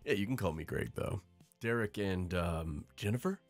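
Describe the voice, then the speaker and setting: A man's voice: sits low, lively intonation, normal speed, dry recording, faint music behind. A man playing a friendly boyfriend welcoming guests to a party in an audio drama. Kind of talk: naturalistic audio drama acting.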